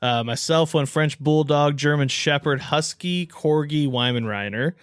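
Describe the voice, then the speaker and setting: Clear-voiced man co-hosting a casual podcast. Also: on the high side, a little slow, no room sound, clean studio sound. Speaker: podcast co-host. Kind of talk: casual banter on a sports podcast.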